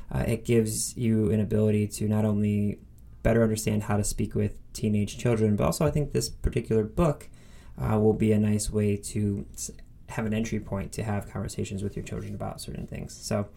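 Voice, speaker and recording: Male podcast host, fairly deep voice with noticeable fry, casual and keen. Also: warm tone. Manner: enthusiastic, informal. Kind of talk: casual podcast intro and conversational interview.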